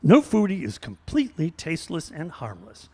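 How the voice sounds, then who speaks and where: Radio-play ad spokesman, male actor: high-pitched, swooping, earnest salesman delivery, hall reverb. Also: wildly swooping pitch, normal speed, darkish tone, husky, exaggerated voice. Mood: salesy, assured. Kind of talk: scripted parody ad read, live radio theater. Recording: reverberant hall stage recording.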